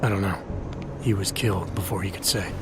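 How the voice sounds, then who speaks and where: A man's voice: low-pitched, fairly quick, over loud music, close to an everyday voice. A man playing a grave, terse agent in a video game thriller, with a serious, matter-of-fact delivery. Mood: grave, urgent. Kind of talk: video game cutscene dialogue.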